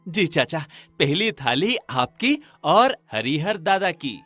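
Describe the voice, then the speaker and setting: A man's voice: on the high side, very animated, slow pace, fairly bright tone, husky, dry recording, soft background music, exaggerated voice. A man voicing an earnest, trusting young village husband in a cartoon. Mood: eager.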